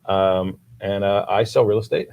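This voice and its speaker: A man's voice: fairly low pitch, fairly even intonation, normal speed, darkish tone. A man from Miami hosting a casual interview show, chatty and friendly, with many fillers and restarts. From Miami, Florida. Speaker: real estate agent, show host.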